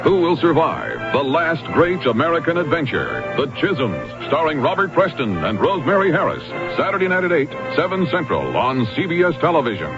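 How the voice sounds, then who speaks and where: A man's voice: fairly high pitch, huge pitch swings, fairly quick, few pauses. Guy doing a big dramatic tv promo, voice swinging way up and down, music behind, dull old radio sound. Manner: dramatic, sweeping. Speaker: TV promo announcer.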